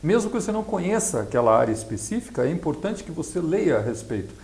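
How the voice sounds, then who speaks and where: Creaky-voiced Brazilian man explaining, echoey room. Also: fairly high pitch, big pitch swings, quick pace, a little mellow. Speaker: accountant and project specialist being interviewed. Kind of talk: explanatory interview answers. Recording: echoey, roomy sound.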